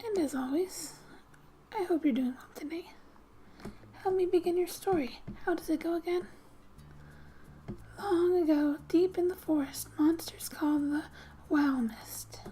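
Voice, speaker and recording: A woman speaking softly and breathily, often near a whisper, reading a game's story aloud in a gentle, unhurried ASMR style with casual asides. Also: very high-pitched, slight background noise. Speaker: ASMR gamer and host.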